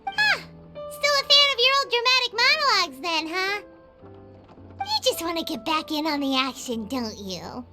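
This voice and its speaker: Game sidekick: tiny cheeky creature, extremely high squeaky voice, bouncy intonation, loud music behind. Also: female voice, big pitch swings, moderate pace. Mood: cheeky, teasing. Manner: squeaky, teasing, blunt. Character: tiny childlike floating companion, cheeky and teasing. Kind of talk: video game voice acting.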